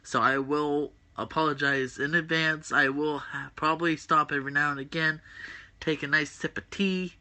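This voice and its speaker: A man hosts a loose, rambling livestream from home on his phone. His voice is groggy yet very bright and slightly high, and he fills his talk with hesitations while chatting with viewers. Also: fairly even intonation, normal speed. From West Virginia. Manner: rambling, many filler "uh"s, reads chat comments aloud. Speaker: host of a wrestling predictions stream. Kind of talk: casual livestream chat.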